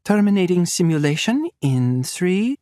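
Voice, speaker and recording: A calm, clinical woman scientist with a slight French accent speaks in a measured way, as a male narrator puts her on. Her voice sits higher than his own. The recording is dry and close. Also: dry recording.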